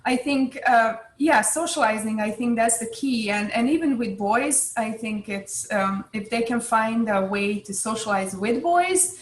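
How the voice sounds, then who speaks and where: A woman in her forties with a Hungarian accent, speaking in a fairly high voice. She talks thoughtfully, restarting sentences and pausing on fillers. The room around her sounds echoey. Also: a little hiss or hum. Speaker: guest, chess club leader. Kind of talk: conversational interview.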